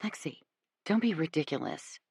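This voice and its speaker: A woman reading a quiet, defensive young woman. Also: low voice, steady tone, a little slow, dry recording, studio quality. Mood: subdued.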